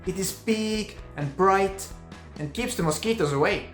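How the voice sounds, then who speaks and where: A man with an Estonian accent, a native Estonian speaker, narrating his own vlog in earnest, slightly halting English. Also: sits high, fairly bright tone, reverberant, faint music behind. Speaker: vlogger.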